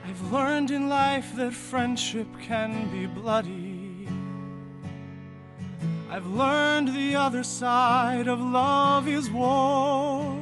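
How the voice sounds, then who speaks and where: A man's voice: extremely high pitch, exaggerated voice. An actor playing a young man, earnest and forgiving, singing a heartfelt ballad in a musical audio drama. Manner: sings a slow, heartfelt ballad. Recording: song over loud music.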